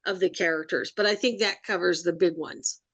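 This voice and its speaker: A woman's voice: on the low side, fairly even intonation, a little fast, fairly bright tone, dry recording. A woman co-hosting a podcast in a casual, conversational style. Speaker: podcast co-host. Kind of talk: casual chat.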